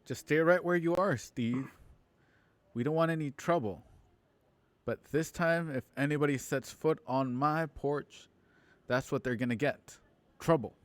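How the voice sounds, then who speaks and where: A man's voice: on the high side, a little slow, long pauses, no room sound, close to an everyday voice. A man playing a defensive suburban husband standing his ground in a radio drama, speaking firmly. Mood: warning. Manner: firm, defensive. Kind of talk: radio play acting.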